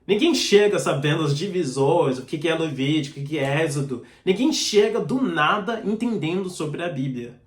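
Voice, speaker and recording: A man delivering a sermon with a noticeable foreign accent, since Portuguese is not his first language. His voice sits fairly high for a man, and he teaches in an earnest, direct way. The recording has a roomy echo. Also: expressive, moderate pace, hardly any pauses. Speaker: preacher. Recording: echoey room.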